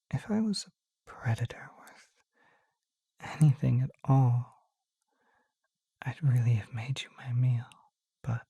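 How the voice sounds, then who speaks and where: A woman voicing a gentle, shy young male naga in an ASMR roleplay, speaking in a soft, hesitant, breathy whisper. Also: very deep voice, no echo, studio quality, heavily acted. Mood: reluctant, apologetic. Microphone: close microphone, dry.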